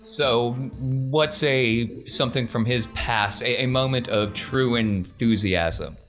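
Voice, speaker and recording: A man's voice: mid-range voice, takes it slowly, a bit bright, soft background music. A man speaking as podcast host and game master, narrating a tabletop role-playing game.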